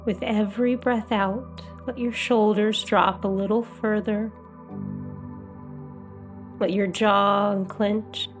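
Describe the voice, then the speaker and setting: A woman guiding a meditation as a hypnotherapist, with a soothing, gentle, unhurried delivery. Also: mid-range voice, steady tone, music in the background. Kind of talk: guided meditation.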